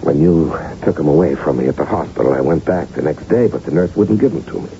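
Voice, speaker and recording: An actor in a radio drama playing a middle-aged heart patient, stubborn and anxious, talking hesitantly and defensively. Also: male voice, extremely low pitch, fast talker, warm tone. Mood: hesitant, uneasy. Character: stubborn, anxious man recovering from a heart attack. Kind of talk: 1980s radio drama acting. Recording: dull, hissy old radio recording.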